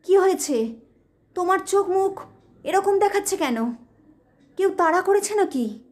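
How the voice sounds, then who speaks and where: A woman's voice: very high voice, lively intonation, measured pace, darkish tone, pitched above the performer's own voice. A woman voicing a pensive, grave wife with a secret past in an audio ghost story. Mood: startled concern. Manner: grave, absent-minded.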